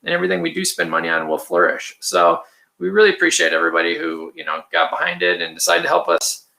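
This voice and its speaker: Raspy, gravelly man. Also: low voice, big pitch swings, quick pace, pushed and bright, poor audio. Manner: rambling and chatty, frequent ums and throat clearing. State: raspy from allergies or stress. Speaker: filmmaker hosting a livestream. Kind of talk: casual livestream chat reading viewer comments.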